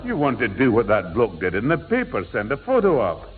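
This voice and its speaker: A man's voice: medium pitch, a little fast. A man playing a mischievous, teasing old grandad in a 1960s British radio sitcom. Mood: chatty. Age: older. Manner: teasing, excitable. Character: mischievous, excitable old grandad who loves a joke. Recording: tinny, noisy old radio recording.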